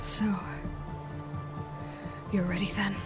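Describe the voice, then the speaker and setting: A woman's voice: mid-range voice, flat delivery, slow pace, music in the background, close to an everyday voice. A woman playing a determined, unforgiving young hunter in a video game cinematic, speaking in a solemn, resolute way. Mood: grim resolve.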